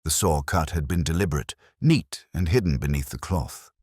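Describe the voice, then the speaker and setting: A man reading scripted mystery fiction in a measured, literary storytelling manner. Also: a bass voice, animated, darkish tone, slightly rough, dry recording, clean studio sound. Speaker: narrator.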